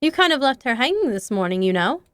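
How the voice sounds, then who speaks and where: A woman voicing a poised, friendly teenage club president who speaks calmly and a little teasingly. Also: high-pitched, quick pace, clean tone, no echo. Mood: friendly, knowing.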